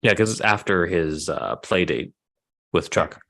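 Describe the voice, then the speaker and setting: A man explaining his thoughts in a measured way in a casual group discussion. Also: deep voice, animated, pushed and bright.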